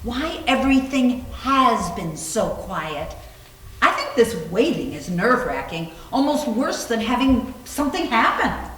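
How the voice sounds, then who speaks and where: A woman's voice: on the high side, sing-song, measured pace, fairly bright tone. A woman reading the part of a brittle, sardonic young woman in an arch, theatrical manner, as in readers theater. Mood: sardonic, uneasy. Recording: roomy echoing live reading.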